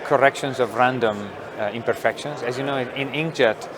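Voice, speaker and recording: A middle-aged man, a company founder explaining technology in an interview, thoughtful with many pauses and fillers. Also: medium pitch, a crowd in the background. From Italy. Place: trade show booth studio.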